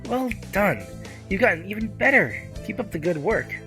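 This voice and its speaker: A man voicing a whimsical male bird who teaches math, measured and gently encouraging, in a visual novel. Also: high-pitched, very animated, voice pushed up, full character voice. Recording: music behind the voice.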